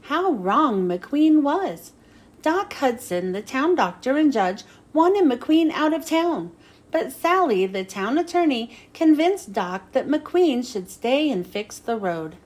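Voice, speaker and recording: A woman reading a children's storybook aloud as an expressive narrator. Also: high voice, slow. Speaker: storybook reader.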